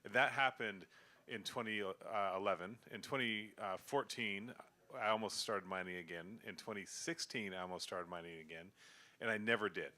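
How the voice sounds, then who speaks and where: A middle-aged man from Sudan and Kenya, talking on a mic in a live bar to an audience. His voice is low-pitched yet bright and forward. He tells stories in a relaxed, rambling way, full of restarts. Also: moderate pace. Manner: relaxed storytelling with frequent restarts and fillers. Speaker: guest, company founder. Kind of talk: casual pub panel chat.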